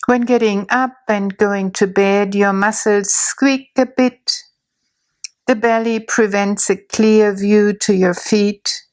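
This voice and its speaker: A woman narrating a guided imagery story in a slow, soothing way, with long pauses. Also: mid-range voice, steady tone, a little mellow, low-quality recording.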